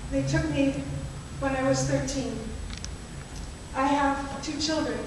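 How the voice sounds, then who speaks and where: A woman voicing a young woman taken from her village, telling it plainly with pauses. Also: high voice. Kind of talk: spoken-word poetry performance. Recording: echoey hall from far off, noisy.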